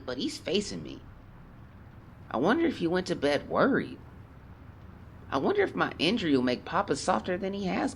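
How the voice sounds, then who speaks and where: A man's voice: fairly high pitch, creaky, slight background noise, exaggerated voice. A man playing a haunted teenage boy explorer, solemnly and theatrically dictating a fearful journal in an audio drama. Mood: weary, somber. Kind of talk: dramatic first-person journal narration.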